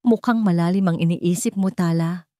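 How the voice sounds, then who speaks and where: A woman with a Filipino accent voicing a menacing male conspirator in a story, quiet and emphatic. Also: lowish voice, moderate pace, dry recording, clean studio sound, lightly acted. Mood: quiet menace.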